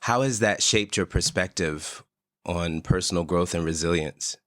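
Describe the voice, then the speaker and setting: A man's voice: deep voice, unhurried, fairly bright tone, no room sound. A man hosting an interview podcast, giving short affirming reactions and framing questions around spiritual design. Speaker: podcast host. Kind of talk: casual interview conversation.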